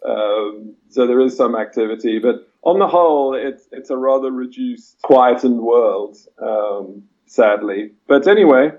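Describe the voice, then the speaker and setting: Hesitant man on a dull line. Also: medium pitch. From Chile-born, raised in Britain and South Africa. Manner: hesitant, measured, many fillers and restarts. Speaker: historian guest. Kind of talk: podcast interview.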